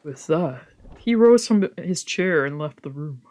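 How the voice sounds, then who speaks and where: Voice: medium pitch, animated, unhurried, a little mellow. A streamer casually narrating and commenting on a visual novel, swearing and reacting freely between lines read aloud. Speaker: streamer doing a let's play.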